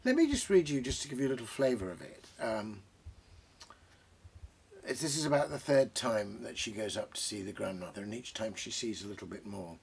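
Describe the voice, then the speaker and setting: A British man in his sixties, a host talking about books and reading aloud, reflective and savouring his phrases with deliberate pauses. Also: fairly low pitch, lively intonation, vocal fry, husky. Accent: British English. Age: 60s. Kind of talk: bookish commentary and reading aloud. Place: small book-crammed study, indoors.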